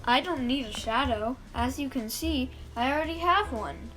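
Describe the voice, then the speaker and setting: A woman's voice: high voice, lively intonation, moderate pace, a bit bright, soft background music, pitched above the performer's own voice. A woman voicing a polite, unhelpful female tree in a children's storybook. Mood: gentle, aloof.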